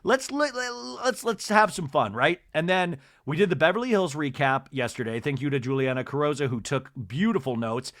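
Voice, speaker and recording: A man hosting a solo podcast that recaps reality TV, talking in a lively, rambling, sarcastic way and swearing now and then. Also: on the high side, normal speed, hardly any pauses, no echo, studio quality. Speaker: podcast host.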